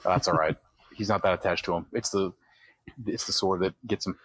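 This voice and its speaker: A man with a deep, slightly rough voice plays a tabletop role-playing game. He narrates his character's actions in a casual, conversational way. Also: steady tone, normal speed, fairly bright tone, dry recording, rough recording.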